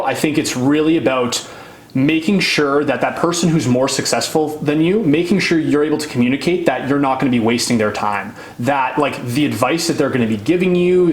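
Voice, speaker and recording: A man in his twenties chatting casually as an interview guest, using slangy, informal speech peppered with "like". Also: medium pitch. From Canada. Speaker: interview guest, real estate investor. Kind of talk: casual, unscripted interview chat. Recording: roomy echo, clear background noise.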